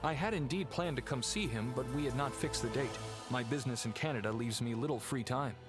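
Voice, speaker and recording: A medium-pitched male voice, a man acting a polite, serious heir of an old family in a video game. He explains earnestly and courteously, with music clearly behind him. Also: brisk, close to an everyday voice.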